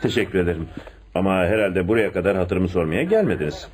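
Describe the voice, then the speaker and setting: An actor playing a courteous but easily flustered, smitten police inspector in a radio play, speaking formally with some hesitation. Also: male voice, sits low, animated, fast talker. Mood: official, polite. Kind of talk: radio theatre acting. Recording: faintly noisy radio drama recording.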